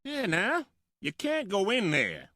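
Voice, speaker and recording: A woman's voice: medium pitch, wildly swooping pitch, takes it slowly, bright, forceful tone, heavily acted. A woman voicing a stern, curt male segregation-era worker with a folksy, authoritative way of talking, in radio-play storytelling style. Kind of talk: old-time radio storytelling.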